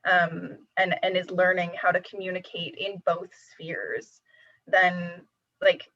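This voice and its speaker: A woman's voice: on the low side, level tone, slow pace, sharp tone. A young woman student researcher reflecting thoughtfully over a video call, hedging and trailing off as she finds her words. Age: young adult. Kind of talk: reflective casual answer. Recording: muffled video call audio.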